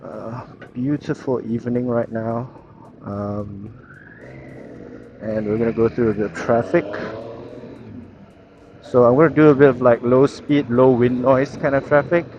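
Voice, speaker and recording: A man's voice: medium pitch, fairly even intonation, unhurried, long pauses. A man chatting casually and thinking aloud as he rides a motorcycle, recorded on a helmet intercom mic. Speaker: motorcycle vlogger. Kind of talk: unscripted casual chat while riding. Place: outdoors on a moving motorcycle, inside a helmet. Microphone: helmet intercom microphone. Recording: muffled helmet mic, loud wind and traffic.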